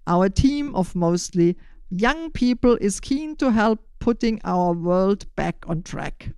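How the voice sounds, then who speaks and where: Older German-accented woman, clean smooth voice. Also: medium pitch, lively intonation, slow, a little mellow, no echo, studio quality. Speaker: podcast host and initiator. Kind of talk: prepared, scripted-sounding podcast interview answers.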